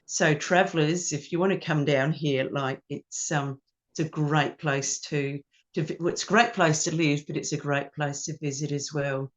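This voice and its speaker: A woman's voice: sits low, steady tone, normal speed. A woman chats as a guest over a video call from her home music studio. She is friendly and loose, with plenty of ums as she goes. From New Zealand. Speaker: ukulele teacher and performer, guest. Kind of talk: casual interview chat.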